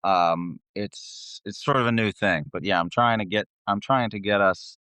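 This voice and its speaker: A man's voice: lowish voice, normal speed, pushed and bright, smooth, no echo. A man who sings in a band, chatting as a guest and telling tour stories in a laid-back, slangy, sweary way. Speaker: band vocalist, interview guest.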